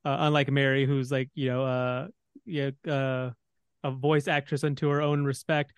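Texan male podcast host, smooth dry voice, chatty and meandering. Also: medium pitch, level tone, moderate pace, no echo. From Texas. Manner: rambling, filler-heavy, leads discussion. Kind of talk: casual podcast discussion.

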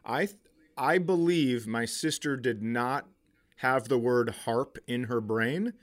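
A middle-aged man with a mid-pitched voice hosts a comedy podcast. He tells stories casually and profanely, acting out quoted lines as he goes. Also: slow pace. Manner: profane, animated retelling with acted-out quotes. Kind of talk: casual comic storytelling.

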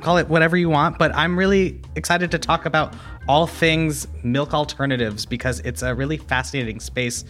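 A man hosting a business podcast, introducing and questioning his guest in a relaxed, friendly manner. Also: a little high, normal speed, faint music behind. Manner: friendly, relaxed, some fillers. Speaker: podcast host, editor. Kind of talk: podcast interview.

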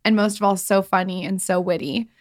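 A woman's voice: mid-range voice, steady tone, brisk, a little mellow, no room sound, clean studio sound. A woman co-hosting a podcast in casual conversation, informal, teasing and chatty. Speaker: podcast co-host. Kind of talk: casual chat.

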